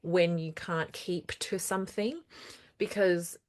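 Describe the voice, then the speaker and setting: A woman's voice: fairly low pitch, fairly even intonation, slow pace. A woman hosting her own numerology channel, talking casually and earnestly, repeating words for emphasis, with a lingering cough after throat trouble. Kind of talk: casual solo talk to camera.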